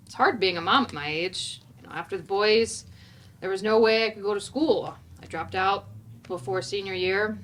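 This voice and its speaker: A woman's voice: medium pitch, a bit bright, natural voice. A woman playing a plain-spoken single mother in her thirties, giving a casual, frank monologue at a staged play reading. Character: blunt working single mom in her thirties, back at school.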